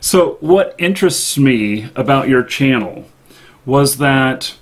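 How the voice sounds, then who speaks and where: A man hosting a casual live interview over an online call, with halting, hesitant phrasing. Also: mid-range voice, lively intonation. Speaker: live stream host. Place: indoor office.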